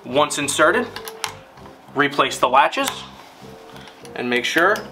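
A man narrating a scripted instructional film in a deliberate, formal, step-by-step manner. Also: fairly high pitch, very animated, distant mic, music in the background.

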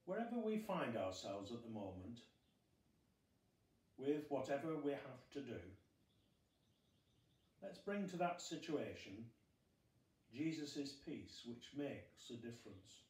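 Slow-spoken man preaching in a roomy space. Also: mid-range voice, very animated, a little mellow. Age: middle-aged. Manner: measured, deliberate, with pauses. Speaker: school chaplain. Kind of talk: short sermon read from notes. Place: small glazed conservatory at home. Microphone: phone camera a few metres away. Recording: roomy, distant phone recording.

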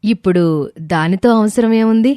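A woman's voice: fairly high pitch, moderate pace, dark tone, no room sound, pitched above the performer's own voice, natural voice. A woman voicing a cautious orphanage director in a story, polite and apologetic. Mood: reluctant.

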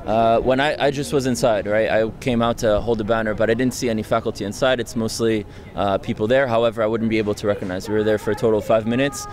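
Fast-talking young man arguing on a street. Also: lowish voice, fairly even intonation, a little mellow. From France. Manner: fast, insistent, argumentative, frequent tag "right?". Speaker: interviewee, student activist. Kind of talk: street interview. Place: outdoors on a city campus street. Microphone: handheld mic held close by interviewer.

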